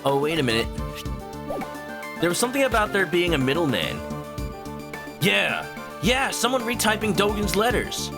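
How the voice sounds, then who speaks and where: A man streams a video game, commenting playfully and reading the on-screen text aloud. His voice is lively and animated. Game music plays clearly behind him. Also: high voice, normal speed, pauses often. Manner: playful, often reads game text aloud and shouts catchphrases. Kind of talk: casual gaming commentary.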